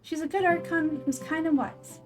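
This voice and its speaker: A woman voicing a small, excitable, childlike fairy companion in a game, eager and insistent, talking about herself in the third person. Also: very high-pitched, moderate pace, music in the background, voice pushed up. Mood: earnest, insistent. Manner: speaks of self in third person.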